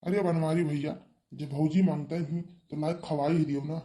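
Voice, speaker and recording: A man's voice: on the high side, fairly even intonation, moderate pace, dark tone, slightly rough. A man voicing a nagging, exasperated villager in a cartoon family comedy, with an Awadhi accent and a rustic, chiding manner.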